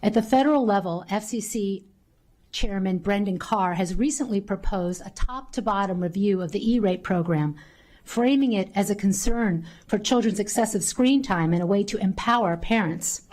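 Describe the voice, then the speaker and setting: A woman's voice: medium pitch, normal speed, a little mellow, poor audio. A woman giving prepared public testimony at a council hearing, reading her statement earnestly into a desk microphone in a council chamber.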